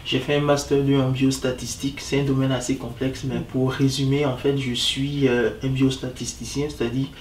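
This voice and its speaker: A young man from Côte d'Ivoire, an association president, answering interview questions earnestly, with many pauses and fillers. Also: mid-range voice, little pitch movement, slight background noise. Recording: echoey room, mic at a distance.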